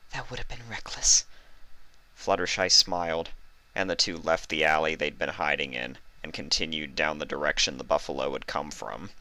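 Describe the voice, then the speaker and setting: A man's voice: rumbling low, sharp tone, no echo. A man reading a fan fiction story aloud as scripted narration.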